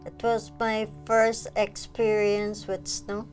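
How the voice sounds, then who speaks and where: Slow-talking woman with a Guyanese accent. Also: mid-range voice, level tone. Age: 40s. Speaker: client sharing personal story. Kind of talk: interview testimonial. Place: small furnished living room indoors. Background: soft music.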